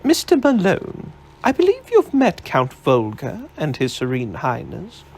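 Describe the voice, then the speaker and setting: Brief: composed lady scientist, gravelly and husky, as a male narrator puts her on. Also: on the high side, huge pitch swings, measured pace, darkish tone, pitched above the performer's own voice, exaggerated voice. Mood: gracious. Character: poised, sharp-minded woman scientist.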